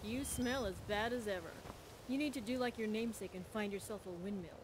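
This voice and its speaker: A tough female thief from a fantasy video game, played by an actress, cocky and streetwise. Her voice sits at a medium pitch and her delivery is blunt and wry. Faint noisy ambience runs behind her. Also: a little fast, close to an everyday voice. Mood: brusque, teasing. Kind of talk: video game character dialogue.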